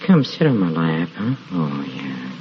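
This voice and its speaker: A low-voiced, haunted man mutters through drink in halting, repeated phrases. He drags his words slowly, brooding and evasive, in a radio horror play. The old recording is muffled. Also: sing-song, slight background noise, heavily acted. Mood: muttering, intrigued. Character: drunken, haunted husband, brooding and evasive about a cat.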